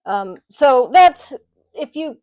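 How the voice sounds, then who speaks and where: A woman's voice: high-pitched, sing-song, slow pace, a little mellow, no room sound, studio quality. A middle-aged woman talking casually to camera in a vlog, rambling and correcting herself, with frequent small laughs. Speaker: vlogger. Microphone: mic on the desk, bumped at times.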